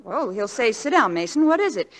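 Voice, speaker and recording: A young wife in a vintage radio play. Her voice sits high, earnest and encouraging, as she coaxes with devotion. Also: sing-song, fairly quick. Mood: urging, coaxing. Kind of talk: 1940s radio drama acting. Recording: vintage 1940s radio broadcast.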